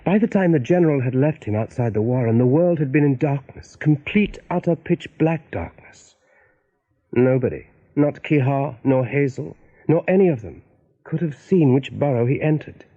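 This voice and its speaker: A man narrating a radio play as a dramatic storyteller, with a husky, mellow voice. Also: medium pitch, expressive, moderate pace. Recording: dull, muffled radio recording.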